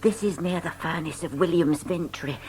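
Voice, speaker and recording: An actress playing a timid, secretive little guide in an audio drama, with an anxious, furtive way of speaking. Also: female voice, lowish voice, moderate pace, darkish tone, a little hiss or hum, close to an everyday voice.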